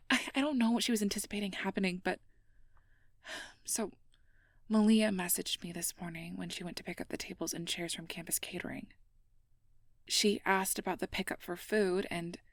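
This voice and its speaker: Breathy, earnest young girlfriend voiced by a woman. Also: mid-range voice, no echo, clean studio sound, natural voice. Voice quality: breathy, often whispered. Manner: earnest, hesitant, careful polite phrasing. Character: sweet, anxious, conscientious young air mage girlfriend confiding her worries. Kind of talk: ASMR role-play, intimate personal address.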